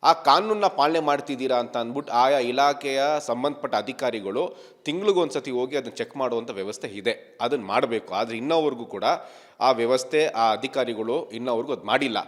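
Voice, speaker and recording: A man's voice: medium pitch, moderate pace, big-room sound. A man giving an emphatic statement to a news reporter as an activist leader, repeating phrases for stress. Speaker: activist leader being interviewed.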